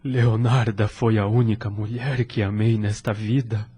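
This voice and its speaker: A man's voice: lowish voice, flat delivery, a little slow, warm tone, breathy edge. A man playing a devoted, grieving widower in an audio drama, earnest and emotional in his delivery. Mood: subdued sorrow. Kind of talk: melodramatic audio drama acting.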